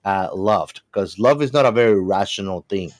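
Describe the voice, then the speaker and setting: Low-voiced man musing earnestly. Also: moderate pace. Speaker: podcast panelist. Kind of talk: casual discussion, philosophical musing.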